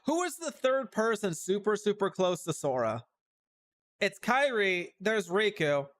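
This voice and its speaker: A man's voice: high voice, very animated, measured pace, a bit bright. A man giving livestream reaction commentary on a game, talking to himself and guessing aloud. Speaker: game streamer. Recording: music behind the voice.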